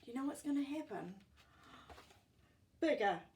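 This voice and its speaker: Expressive woman storyteller, very lively intonation. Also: high-pitched, a little slow, recorded from a distance, a little hiss or hum. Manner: expressive, exclamatory. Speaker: children's storyteller. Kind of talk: animated picture-book reading with asides to young listeners.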